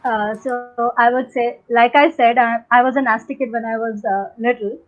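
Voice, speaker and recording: This is a young woman from India with a high voice, whose first language is Hindi, answering questions on a panel. She rambles and hesitates, with many fillers and restarts. Her voice comes through a thin, phone-like video call line. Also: steady tone, moderate pace. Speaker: panel guest. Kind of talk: unscripted conversational answers.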